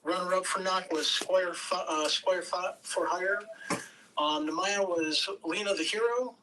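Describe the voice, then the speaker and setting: High-voiced man hosting, far from the mic. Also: unhurried. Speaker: host directing an online table read. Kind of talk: casual coaching and announcing. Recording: distant mic with faint background noise.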